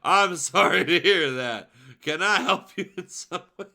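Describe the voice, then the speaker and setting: A man's voice: sits high, measured pace, gravelly, bright, forceful tone, exaggerated voice. A man voicing a meek, naive young female dinosaur with an earnest, questioning manner, in a fan fiction read-aloud. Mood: sympathetic.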